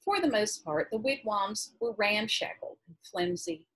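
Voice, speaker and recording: Husky American woman reading aloud. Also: a little high, sing-song, measured pace, fairly bright tone. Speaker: high school English teacher reading to class. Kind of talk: reading a novel aloud with teacherly asides. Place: small room at home, indoors.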